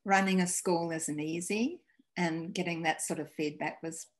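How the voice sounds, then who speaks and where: A woman in her sixties from New Zealand, a school principal telling stories in a video-call interview, halting and restarting. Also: lowish voice, fairly even intonation. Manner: warm storytelling, halting restarts. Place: home room, indoors. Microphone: video call webcam.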